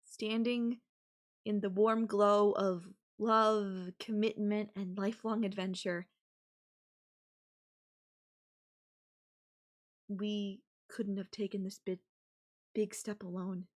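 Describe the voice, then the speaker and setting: A young woman playing a sweet, possessive yandere girlfriend in an intimate audio roleplay, speaking softly and haltingly to the listener. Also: medium pitch, level tone, close to an everyday voice. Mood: ceremonial, devoted.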